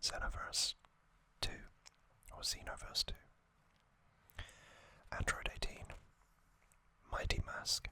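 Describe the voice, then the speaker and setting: An ASMR host whispering casual trivia, hushed and unvoiced, musing with frequent ums. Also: takes it slowly, long pauses.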